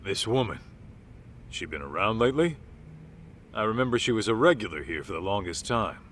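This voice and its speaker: Streetwise private eye for a game: low, animated, cocky and protective. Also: male voice, fairly quick, slight background noise. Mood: breezy questioning. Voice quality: low, sturdy voice. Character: easygoing, tough, streetwise male private detective. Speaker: voice actor in game dub. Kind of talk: English dub game cutscene acting.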